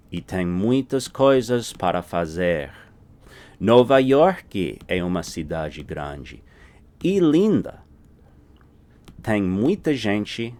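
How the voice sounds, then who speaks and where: A man teaching a recorded language lesson. His voice sits very low, and he walks through each point patiently, often checking in with "right?" as he goes. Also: a little mellow.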